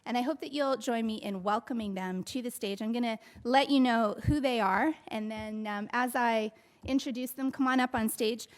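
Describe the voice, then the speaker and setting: Woman, higher pitch, event host, formal stage intro. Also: moderate pace. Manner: upbeat, formal announcing. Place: conference stage.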